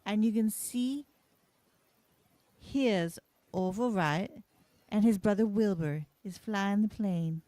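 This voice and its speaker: A woman playing a schoolteacher giving children a history lesson in a radio play, in a measured, explaining manner. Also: medium pitch, dark tone, no room sound, lightly acted. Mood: patient, instructive.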